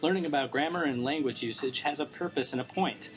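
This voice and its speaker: A man's voice: a little high, quick pace, over music, lightly acted. A man playing an earnest, defensive young informant, a personified blog, in an amateur noir radio drama, explaining himself.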